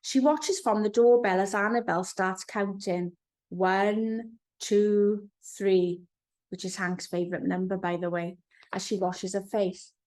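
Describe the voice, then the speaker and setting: A woman narrating a storybook for young children in an expressive storytelling manner. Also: medium pitch, measured pace. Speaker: author reading own children's book.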